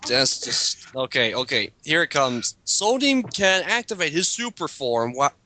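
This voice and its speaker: A man's voice: a little high, sing-song, a little slow, bright, forceful tone, no room sound. A man reading aloud to a group in a mocking style, with casual comments in between. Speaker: reader in a group dramatic reading.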